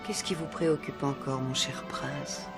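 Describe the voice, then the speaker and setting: An actor playing a wise magical helper, gently admonishing, in a dubbed animated fairy tale. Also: mid-range voice, measured pace, lightly acted. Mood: gentle, grave. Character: calm, wise magical helper counselling the prince. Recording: loud music over voice.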